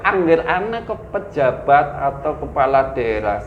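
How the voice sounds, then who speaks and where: A man's voice: fairly high pitch, very animated, unhurried. A man with a Tegal Javanese accent, a writer reminiscing casually and mixing Indonesian with Tegal Javanese, often restarting. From Tegal, Central Java. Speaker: guest, writer. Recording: roomy, dull, faint noise.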